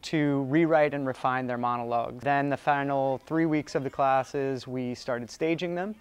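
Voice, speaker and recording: A man who teaches theatre, calmly explaining a student writing and staging program in an interview. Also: mid-range voice, clean tone. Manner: measured, explanatory.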